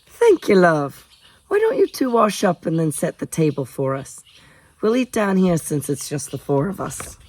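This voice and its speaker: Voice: mid-range voice, very animated, moderate pace, darkish tone, husky, pitched above the performer's own voice. A narrator voicing a kindly, motherly housekeeper who fusses politely and fondly in a storybook. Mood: warm welcome. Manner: affectionate, fussing, polite. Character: kindly motherly housekeeper, gently scolding, fond.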